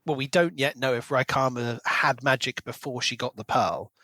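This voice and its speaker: A man's voice: medium pitch, moderate pace, bright, forceful tone, dry recording. A man co-hosting a podcast, talking through a book in an articulate, reflective, conversational way. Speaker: podcast co-host. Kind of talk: book discussion, casual analytical chat.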